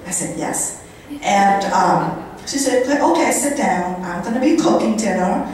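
A woman's voice: medium pitch, a little slow. Woman storytelling in a very echoey room. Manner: conversational, animated retelling with quoted dialogue. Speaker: guest speaker at a charity event. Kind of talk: storytelling monologue to a live audience. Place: indoor function room at a country club. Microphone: handheld microphone, picked up by a far camera. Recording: echoey room, distant camera microphone, room noise.

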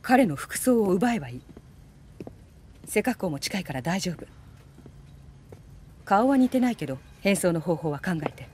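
An actress playing a poised young female spy in a video game cutscene, speaking in a cool, intimate, deliberate way. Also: on the low side, big pitch swings, a little mellow, a little hiss or hum. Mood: cool briefing. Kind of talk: dramatic game cutscene acting. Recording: music behind the voice.

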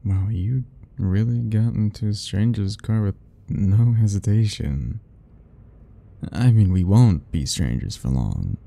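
A man voicing a doting, possessive yandere admirer in soft, husky, intimate murmurs for an ASMR romance roleplay. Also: sits low, exaggerated voice. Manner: slow, intimate murmuring, coaxing and self-talking.

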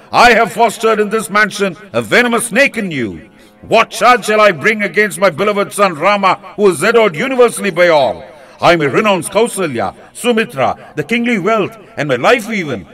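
Grief-stricken old king, high and declamatory, put on by a male narrator. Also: sharp tone, heavily acted. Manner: impassioned, declamatory pleading.

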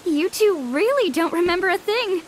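A woman voices a mysterious young woman from a fantasy game dub. She sounds high-pitched, composed and knowing, speaking in cryptic, assured lines. Faint background noise sits behind her. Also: animated, moderate pace. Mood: amused. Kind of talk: anime-style video game fandub.

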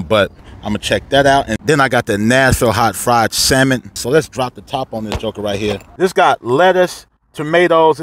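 A man's voice: medium pitch, fairly bright tone. A man, giving a casual, slangy and profane food review while eating in a parked car. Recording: clear background noise in a car.

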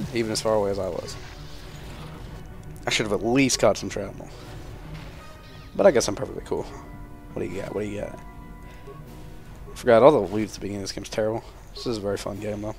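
Young male gamer, deeper side, casual and foul-mouthed, wry running commentary, clear music behind. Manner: sarcastic, profane running commentary. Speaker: gaming video host.

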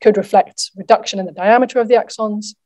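A middle-aged woman delivers an academic keynote lecture in a mid-pitched voice. Her delivery is measured and explanatory, as a researcher presenting to a conference. She speaks at a lectern in a lecture theatre. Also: fairly even intonation, a little mellow.

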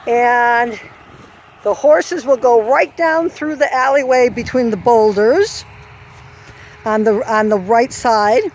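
Slow-talking woman on an outdoor walk-through. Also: a little high, darkish tone. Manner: unhurried, walking while explaining, stops to correct herself. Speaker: course designer giving a walk-through. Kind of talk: instructional course walk-through. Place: outdoor horse trail course. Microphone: handheld phone camera. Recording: faint outdoor noise, sometimes far from the mic.

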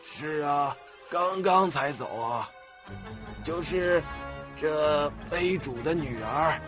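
A man acting a slow-talking old cemetery keeper. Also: on the high side. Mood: curious, matter-of-fact. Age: older. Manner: slow, questioning. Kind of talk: radio drama acting. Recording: dull lo-fi audio, music behind.

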